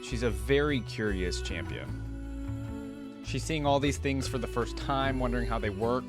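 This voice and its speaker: A man's voice: medium pitch, big pitch swings, unhurried. A man hosting a reaction video with casual, informal commentary, thinking aloud as he goes. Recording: music playing behind the voice. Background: game music.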